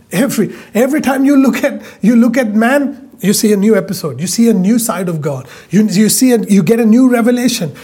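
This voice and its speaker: A man preaching a sermon, emphatic, repeating phrases and drawing out key words slowly. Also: sits high, warm tone, husky.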